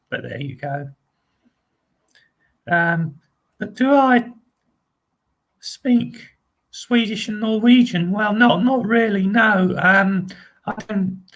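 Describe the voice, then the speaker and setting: A man's voice: sits high, fairly bright tone. A man hosting his own channel, chatting casually and hesitantly with lots of ums and restarts, repeating friendly phrases as he rambles. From England. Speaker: channel host. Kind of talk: casual live video chat.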